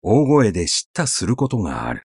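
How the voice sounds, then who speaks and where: A man narrating a literary story in a measured, conversational, expressive way, as if telling it to someone. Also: on the low side, slightly rough, no room sound, clean studio sound.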